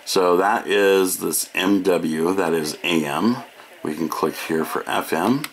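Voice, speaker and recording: A very low-pitched American man walks through a product demo in a casual, matter-of-fact voice. His pace is slow and unhurried as he explains each feature. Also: a little hiss or hum. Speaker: product reviewer.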